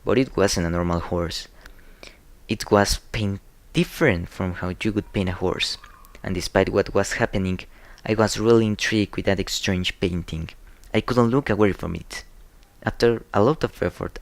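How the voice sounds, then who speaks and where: A low-pitched young nobleman, acted by a man, uneasy and intrigued as he tells his tale in a radio drama. His storytelling is dramatic, with slightly foreign phrasing, in a dry, close recording. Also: no room sound, close to an everyday voice. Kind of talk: amateur radio drama, scripted acting.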